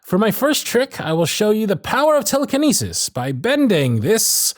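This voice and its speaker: Showy stage magician, played by a man, higher-pitched and very animated, grand theatrical phrasing, audio roleplay. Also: no room sound, clean studio sound. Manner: grand projected patter, drawn-out dramatic phrasing. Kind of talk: ASMR roleplay stage performance.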